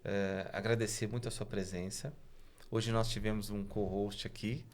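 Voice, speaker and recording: A man's voice: fairly low pitch, level tone, moderate pace. Guy hosting a casual chat show, friendly, keeps asking "right?", bit of faint noise behind. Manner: friendly, with repeated tag questions. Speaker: host.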